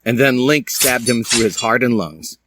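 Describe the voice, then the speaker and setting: A man's voice: mid-range voice, expressive, moderate pace, heavy background noise. A man reading fan fiction aloud as a dramatic, comic storyteller with a theatrical manner. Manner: theatrical, puts on character voices.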